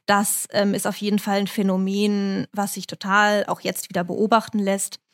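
A woman speaking a language that is not her first, answering interview questions in a measured, explanatory way with frequent hesitations. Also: medium pitch, little pitch movement. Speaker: correspondent in Moscow.

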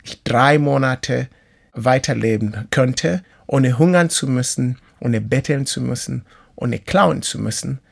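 A middle-aged man from Kenya speaks with a gravelly, creaky edge at an ordinary pitch. He tells his story in an earnest, reflective manner, measuring his words as an interview guest. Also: measured pace. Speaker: interview guest, author and teacher. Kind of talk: personal life story in an interview.

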